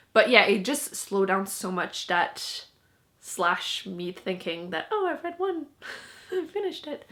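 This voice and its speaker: A woman's voice: mid-range voice, fairly bright tone. A young woman whose first language is French, chatting casually to camera as a book vlogger, laughing often and poking fun at herself.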